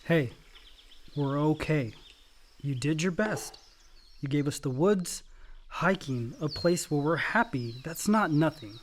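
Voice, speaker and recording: An actor playing a caring but troubled grown son, understated and guarded, in a naturalistic radio drama. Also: male voice, a little high, animated, takes it slowly, long pauses, slight background noise. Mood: consoling. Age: young adult.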